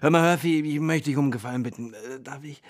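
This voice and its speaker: An actor playing a tipsy, flippant and furtive young playboy in a radio drama, talking in slurred, hurried bursts. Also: male voice, medium pitch, natural voice. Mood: furtive, sheepish. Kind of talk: naturalistic radio drama acting.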